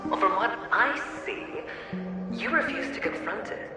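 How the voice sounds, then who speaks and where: An actor playing a mocking, theatrical inner tormentor in a video game, insinuating and sometimes dropping to a breathy tone. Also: male voice, sits high, expressive, unhurried. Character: taunting, theatrical male inner tormentor needling a gambler. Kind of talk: dramatic video game voice acting. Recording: very echoey, loud music behind.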